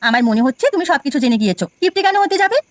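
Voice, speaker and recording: A woman voicing a kind, trusting young village wife in a cartoon, speaking quickly and earnestly. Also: high-pitched, animated, no echo. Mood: earnest, defensive. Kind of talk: Bengali village cartoon voice acting.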